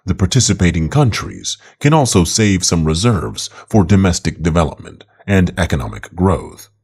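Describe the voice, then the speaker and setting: A man's voice: deep voice, animated, normal speed, a little mellow. A man reading an opinion article aloud as scripted narration.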